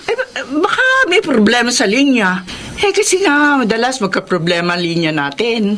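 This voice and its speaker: Evasive middle-aged Filipino mother, slightly high, lively, hesitant radio-drama delivery. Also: moderate pace, slight background noise. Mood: flustered. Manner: hesitant, flustered. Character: evasive, excuse-making mother covering for her grown son.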